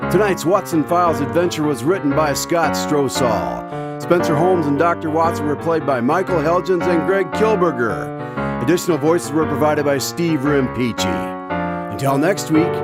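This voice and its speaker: A man's voice: a little high, animated, a little slow. A man playing the eager, friendly host of a radio mystery drama, presenting in an old-time announcer style. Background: guitar music.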